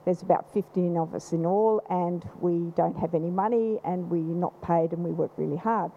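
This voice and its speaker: A woman with a loud voice, speaking from the audience at a panel event, rambling with many restarts. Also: lowish voice, fairly even intonation, moderate pace, gravelly, a little hiss or hum. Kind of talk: unscripted comment from the floor. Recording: slightly dull live event recording.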